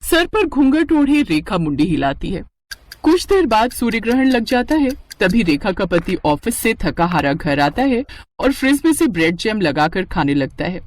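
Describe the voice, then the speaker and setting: A woman narrating a scripted moral story in an expressive storytelling style. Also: mid-range voice, moderate pace.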